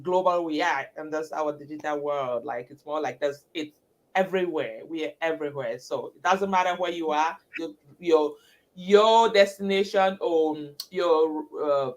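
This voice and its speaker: A woman's voice: deep voice, moderate pace, rough recording. Chatty, enthusiastic woman host. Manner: enthusiastic, chatty, says "girl" and "like" often. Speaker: livestream host. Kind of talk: casual live-stream chat.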